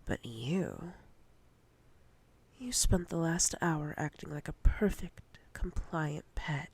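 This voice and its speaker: A woman playing a dominant, possessive female boss in roleplay ASMR, commanding and teasing in a breathy, often whispered voice. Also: low-pitched, animated, full character voice. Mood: smug, commanding. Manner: slow, deliberate, commanding.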